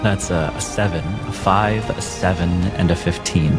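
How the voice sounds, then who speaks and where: Male game master, very low voice, measured dramatic scene-setting over music. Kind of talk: narrating a tabletop role-playing game.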